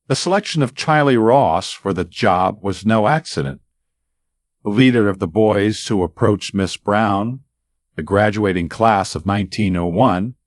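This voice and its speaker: A man narrating a scripted anecdote as a storyteller, with dramatic pauses and deliberate, emphatic phrasing. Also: lowish voice, no echo, studio quality. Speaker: radio-style storyteller.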